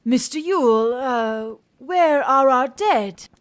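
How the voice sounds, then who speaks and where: A woman's voice: high-pitched, expressive, slow, dry recording. A woman playing a female elven army captain, formal and resolute, in an audiobook story. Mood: hesitant, questioning. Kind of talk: audiobook character acting.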